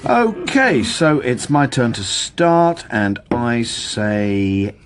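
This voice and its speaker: A man's voice: mid-range voice, sing-song, very slow. An actor playing a wry, disillusioned ex-policeman who teases his friends in a naturalistic radio drama. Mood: wry, playful.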